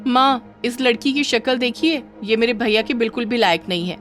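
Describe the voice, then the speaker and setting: A woman voicing a spiteful, haughty young sister-in-law in a moral story, sneering, sharp-tongued and condescending. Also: on the high side, moderate pace, faint music behind, voice pushed up, natural voice. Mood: scornful, angry. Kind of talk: acted dialogue in a moral story.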